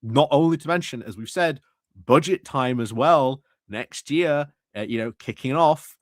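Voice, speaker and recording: A man's voice: medium pitch, unhurried, no room sound, studio quality. A man giving casual live political commentary, sardonic and scornful, repeating phrases for emphasis. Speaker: streamer commentating on a council meeting.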